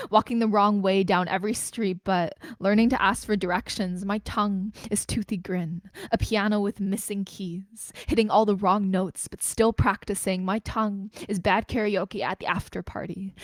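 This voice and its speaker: A woman's voice: mid-range voice, no echo. A woman, a spoken word poet performing and introducing her own work. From Canada, Greater Toronto Area.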